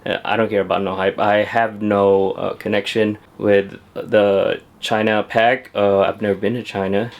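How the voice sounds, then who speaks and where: A man's voice: on the low side, flat delivery, normal speed, gravelly, hardly any pauses. A young man talking casually to camera at home about his sneakers, chatty and laid-back with lots of fillers. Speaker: sneaker vlogger. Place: at home indoors.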